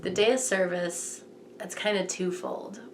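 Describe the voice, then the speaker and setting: An interviewed mother talks in an earnest, measured way. Her voice sits low, with a gravelly fry running through it. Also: fairly even intonation, slight background noise. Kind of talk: reflective interview.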